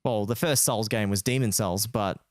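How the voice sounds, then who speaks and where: A man's voice: fairly low pitch, moderate pace, no room sound, studio quality. An Australian man co-hosting a podcast, chatting about games in a laid-back, slangy way. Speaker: podcast co-host. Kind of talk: casual gaming chat.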